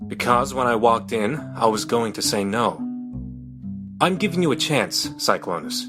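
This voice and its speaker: A firm, fair-minded robot captain, played by a man in an audio drama. He speaks with authority in a medium-pitched, expressive voice, with music clearly playing underneath. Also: normal speed, natural voice. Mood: stern.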